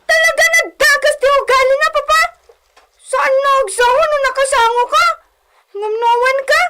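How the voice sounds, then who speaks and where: Nakangatngato ti timekna a naapas nga ubing a señorita. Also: female voice, extremely high pitch, moderate pace, bright, forceful tone. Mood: angry accusing. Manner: whiny, demanding, imperious. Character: spoiled, haughty young daughter of a rich villa owner. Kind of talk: radio comedy drama acting.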